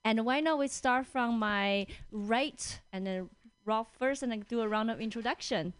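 A woman's voice: fairly high pitch, normal speed, smooth, no room sound. A woman from China hosting a casual radio podcast, talking with many fillers and frequent laughs. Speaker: podcast host. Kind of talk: casual chat. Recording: dry radio booth.

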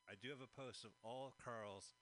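Joking man with a bright voice. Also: medium pitch, normal speed, a little hiss or hum. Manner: jokey, rambling, chuckling asides. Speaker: radio co-host. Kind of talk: casual movie commentary chat.

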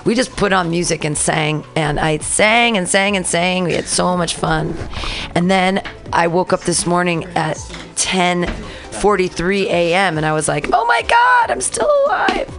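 Low-voiced chatty radio host woman. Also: measured pace, faint music behind. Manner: chatty, rambling, animated retellings. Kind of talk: casual radio chat. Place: community radio studio. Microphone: studio mic.

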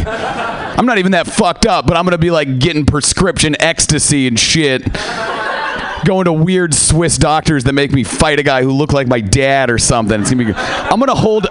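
Comedian guy rambling through his set, laughs at his own jokes, mid-pitched voice, hissy live radio feed. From Norwegian-American. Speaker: stand-up comedian. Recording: noisy live radio broadcast.

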